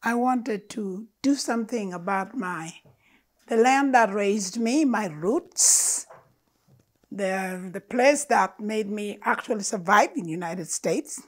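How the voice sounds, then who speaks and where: An older woman from Uganda, telling her story to an audience in a living room, slow and earnest with emphatic stresses. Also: mid-range voice. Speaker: storyteller speaker. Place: living room with stone fireplace, indoors. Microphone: lapel mic.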